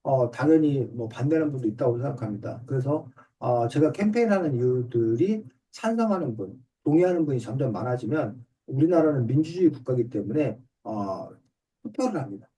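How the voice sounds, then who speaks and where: A man's voice: medium pitch, expressive, a little fast, dark tone. A middle-aged man, an author and teacher, politely explaining things step by step in simple terms during an online class. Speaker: author and reading teacher answering a student's questions. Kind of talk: explanatory talk in an online book discussion class. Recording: distant mic on online video call.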